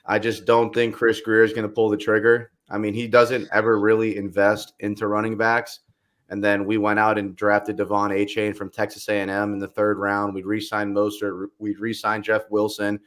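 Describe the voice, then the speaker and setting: Young male guest, loud and lively, low-ish voice, loose casual delivery. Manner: animated, quick bursts, lots of "like". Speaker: podcast guest. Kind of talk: casual chat.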